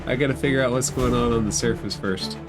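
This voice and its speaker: A man's voice: medium pitch, quick pace. A man narrating a tabletop fantasy game as game master, improvising descriptions and rulings for the players. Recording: background instrumental music under voice.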